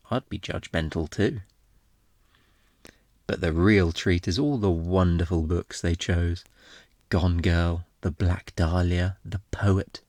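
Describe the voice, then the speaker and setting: A man playing an obnoxiously flirty, smug thief in an intimate ASMR roleplay, teasing in a playful, theatrical, drawn-out way. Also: sits low, dry recording, clean studio sound, heavily acted. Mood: mock-hurt, playful.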